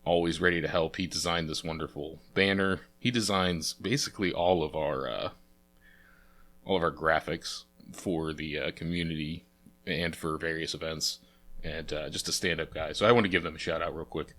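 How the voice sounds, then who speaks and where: Low-voiced stuffy-nosed man chatting through games. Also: steady tone, normal speed, a bit bright. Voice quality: sniffly, congested. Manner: chatty, rambling, lots of uh fillers. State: stuffy nose from a cold. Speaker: let's play host. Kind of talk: casual game playthrough commentary.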